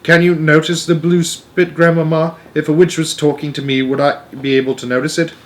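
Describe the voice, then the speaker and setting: A man voicing a curious, excitable young grandchild in a storybook, full of exclamations and incredulous questions. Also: a little high, brisk, voice pushed up, natural voice. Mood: eager, curious. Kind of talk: storybook read-aloud character.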